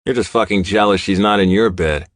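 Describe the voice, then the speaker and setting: A man voicing a hot-headed, stubborn young outlaw biker in an audiobook, with a forceful, barking manner. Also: deep voice, a little fast, no room sound, clean studio sound, voice pushed up, close to an everyday voice. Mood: angry, defiant.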